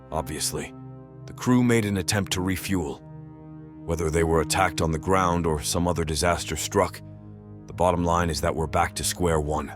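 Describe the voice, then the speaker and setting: A man's voice: low voice, a bit bright. A man playing a sardonic, grave military figure in a horror audio comic. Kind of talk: dramatic audio comic acting.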